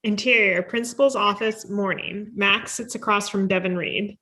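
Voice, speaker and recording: A woman's voice: mid-range voice, steady tone, moderate pace, sharp tone. A woman reading scripted screenplay directions as narrator. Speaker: stage directions reader.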